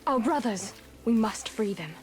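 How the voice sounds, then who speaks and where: A woman's voice: fairly high pitch, animated, unhurried. An actress playing a determined young warrior woman in a video game, earnest and resolute. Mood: urgent, determined. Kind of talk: video game cutscene acting.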